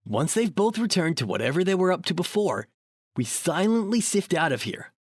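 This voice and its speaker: A young, self-assured paranormal investigator played by a man with an animated, swooping delivery and a dry close sound. He is sarcastic and a bit bossy, as heard in audio drama. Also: high-pitched, moderate pace, slightly rough, no room sound, exaggerated voice. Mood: urgent.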